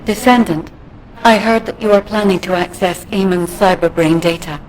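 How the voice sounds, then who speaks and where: A woman's voice: mid-range voice, moderate pace, a little mellow, slight background noise, lightly acted, poor audio. A woman playing a composed, protective digital guide in a video game, speaking formally and precisely. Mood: calm concern. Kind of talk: video game dialogue acting.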